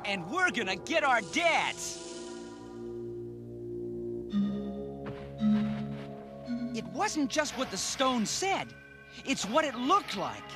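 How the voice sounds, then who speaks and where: Casting: young heroic team leader for animation, lively high voice, decisive and sincere. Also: male voice, unhurried, full character voice. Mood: determined. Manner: earnest, decisive. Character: earnest, brave young blond male ghostbuster leader. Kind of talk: cartoon hero acting. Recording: long reverb, loud music underneath.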